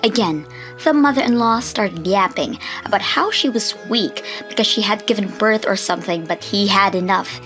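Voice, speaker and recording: A woman narrates a scripted story for a comic dub. Her pitch is average for a woman, and music plays faintly underneath. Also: a bit bright.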